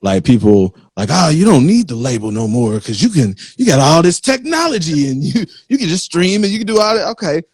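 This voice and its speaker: A man's voice: a little high, very animated, fairly quick, hardly any pauses, darkish tone, breathy edge, no room sound, studio quality. A man hosting a casual interview, talking informally with slang and acting out imagined quotes as he goes. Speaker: interviewer, magazine host.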